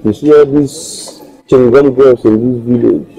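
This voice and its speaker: A man's voice: medium pitch, a little slow, gravelly, dark tone, poor audio. An actor playing a young village man who teases his girlfriend and promises riches from abroad, with a playful, laughing manner. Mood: boastful. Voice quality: playful, teasing, laughs often. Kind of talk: film acting.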